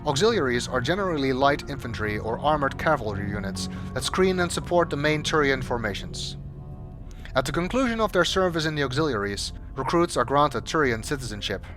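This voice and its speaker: A man reads scripted, documentary-style narration in a measured, formal, expository manner. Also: mid-range voice, big pitch swings. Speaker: amateur voice-over narrator. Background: background music.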